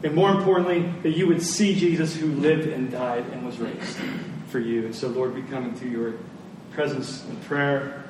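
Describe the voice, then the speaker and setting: A man's voice: medium pitch, fairly even intonation, normal speed. A man preaching a sermon as a pastor, earnest and emphatic, repeating key phrases. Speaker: pastor preaching to his church. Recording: roomy, distant mic, faint noise.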